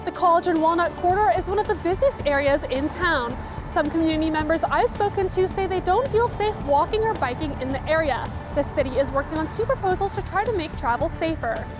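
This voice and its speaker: A woman's voice: very high-pitched, animated, fairly quick, few pauses, over music. A woman reporting local news in a scripted narration.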